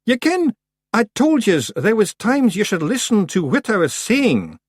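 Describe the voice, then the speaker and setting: A man's voice: high-pitched, wildly swooping pitch, unhurried, husky, dry recording, clean studio sound, pitched above the performer's own voice, exaggerated voice. Man doing a brash little Scottish fairy chief. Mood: icy. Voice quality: boastful, blustering. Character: brash small male fairy clan chief.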